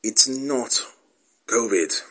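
A man with a hoarse voice from a cold, hosting a casual, meandering monologue full of fillers and restarts. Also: mid-range voice, lively intonation, extremely slow, a bit bright. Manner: meandering, self-deprecating, many fillers and restarts. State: hoarse, losing his voice with a cold. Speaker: podcast host.

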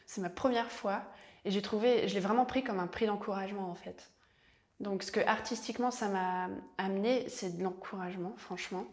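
A young woman talks through her plans in an easy, reflective way, often circling back and restarting her sentences. She answers as an actress in an interview, at an ordinary pitch and pace. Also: a little fast. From French-speaking Switzerland. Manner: reflective and chatty, with frequent restarts and laughs.

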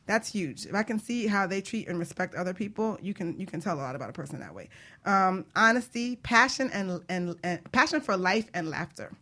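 A woman hosting a casual group chat, jovial and quick to laugh. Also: mid-range voice, animated, pushed and bright. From Jamaica. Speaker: talk show host.

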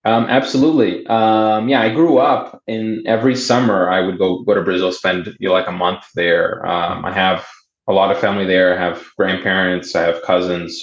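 A young man who first learned Portuguese, telling his story in a casual interview with many fillers and restarts. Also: deep voice. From Boston area, United States. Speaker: guest. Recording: roomy, dull remote audio.

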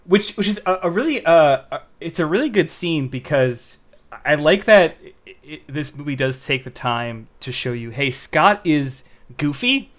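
A young man co-hosts a casual movie podcast, his voice sitting a touch higher than most men's. He rambles, restarts sentences and leans on "like" while working through his thoughts. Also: lively intonation, a little slow. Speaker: podcast co-host. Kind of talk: casual movie review chat.

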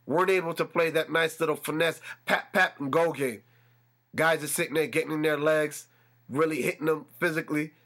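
Male podcast host, stuffy nasal voice, a touch higher-pitched, talks himself up into excitement. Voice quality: slightly nasal. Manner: animated, builds excitement with repetition. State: congested. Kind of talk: solo sports podcast talk. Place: room with a fan running.

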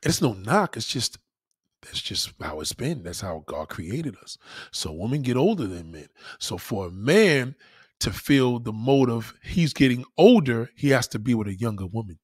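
A man's voice: mid-range voice, sing-song, moderate pace, husky, no room sound, studio quality. A man giving a blunt, profane opinion monologue in a casual, lecturing way, repeating points for emphasis. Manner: emphatic, repeats himself for stress.

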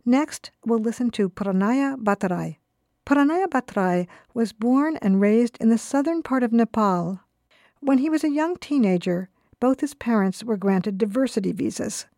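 A woman's voice: on the high side, lively intonation, normal speed, dark tone, no echo, clean studio sound. A woman presenting scripted documentary narration for radio.

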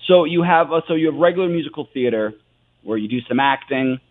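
A man in his thirties with an American accent, giving animated, earnest answers as a theater guest in a conversational interview. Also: fairly high pitch, fairly quick. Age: 30s. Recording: tinny phone-like sound.

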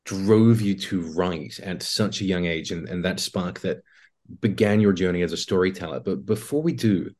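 A man with a deep voice hosts a conversational interview. He sets up his questions deliberately, in short measured phrases. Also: darkish tone. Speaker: interview host.

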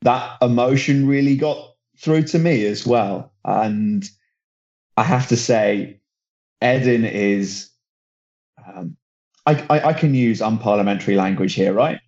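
A man's voice: lowish voice, measured pace, a little mellow, highs cut off. A man working as a football reporter, chatting casually on a podcast, enthusiastic and rambling, often restarting his sentences. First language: not German; German is his second language.

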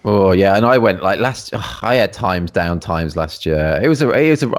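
A middle-aged man hosting a casual chat, animated and exclaiming, often restarting his sentences. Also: low-pitched, normal speed. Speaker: podcast host.

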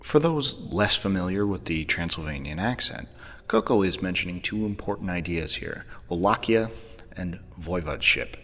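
A man with a very low voice narrates a scripted podcast in a measured, wry way. His voice carries a long reverb tail, and soft music plays underneath. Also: lively intonation.

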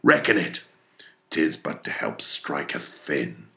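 Old whaling captain, very deep, forceful, slow, domineering, brooding, archaic audiobook drama. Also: male voice, sing-song, exaggerated voice. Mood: rousing. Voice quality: gravelly. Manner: grandiose, archaic, commanding. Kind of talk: dramatic audiobook character reading.

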